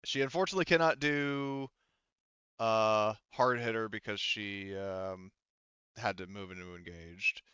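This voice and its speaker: Slow, halting game master, clean-voiced man. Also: lowish voice, sharp tone, clear, resonant tone, no echo, highs cut off. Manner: hesitant, thinking aloud while working out rules. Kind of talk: running a tabletop roleplaying game, casual.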